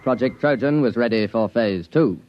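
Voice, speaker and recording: An actor playing a matter-of-fact narrator reading an official report in 1950s radio drama style. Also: male voice, mid-range voice, normal speed, slight background noise, close to an everyday voice. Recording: muffled old radio recording over loud music.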